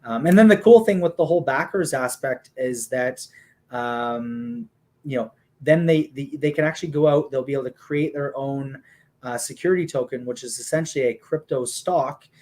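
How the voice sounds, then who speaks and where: A man talks through a plan in a loose conversational way. He leans on filler words and keeps an even, explanatory flow. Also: medium pitch, moderate pace. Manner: steady, explanatory, many fillers like "you know" and "um". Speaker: guest explaining a hockey league business model.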